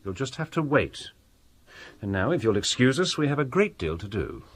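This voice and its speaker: A courteous, scholarly gentleman in a radio play. He sounds polite and bookish, with widely varied intonation, a loyal and steadying friend. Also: medium pitch, sing-song, moderate pace. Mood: firm, tactful. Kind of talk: radio drama acting.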